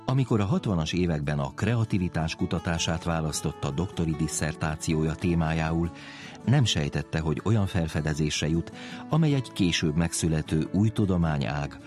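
A man reading a scripted introduction as the host of a science radio programme. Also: extremely low pitch.

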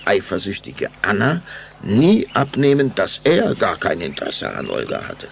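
A deep-voiced man narrates a children's audio drama. His gravelly voice moves expressively through the story. Faint noise lies behind him. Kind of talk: scripted narration of a children's radio play.

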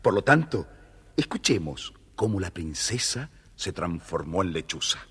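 A man's voice: deep voice, sing-song, unhurried, a bit bright, husky. A man narrating a dramatised children's story, expressively addressing his young listeners.

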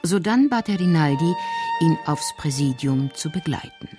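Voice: mid-range voice, lively intonation, moderate pace, loud music behind the voice. A narrator reading scripted narration for a radio crime drama.

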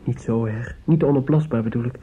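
An actor playing an earnest, respectful young son in a radio drama, speaking hesitantly and deferentially. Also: male voice, mid-range voice, brisk, mellow, husky. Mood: nervous, earnest. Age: young adult. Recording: muffled, noisy old radio play recording.

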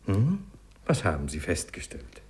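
An actor playing an urbane, inquisitive doctor in a 1950s German radio play, speaking with formal courtesy and probing questions. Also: male voice, sits low, huge pitch swings, a little slow, darkish tone, full character voice. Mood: curious, surprised. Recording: 1950s radio drama recording.

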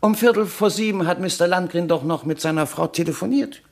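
An actor playing a guarded, testy office employee in a radio crime drama, curt and defensive. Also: male voice, high-pitched, a little fast.

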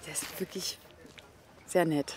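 An actress playing a worried, exasperated young daughter in a naturalistic radio drama, speaking colloquially and intimately. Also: female voice, low-pitched, steady tone, unhurried, slight background noise. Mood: matter-of-fact, subdued.

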